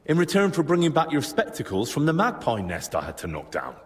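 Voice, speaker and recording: An actor playing a plain-spoken, persistent young adventurer in a video game, with a frank, wry and informal manner. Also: male voice, on the high side, wildly swooping pitch, fairly quick. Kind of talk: video game cutscene acting. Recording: reverberant, clear music behind.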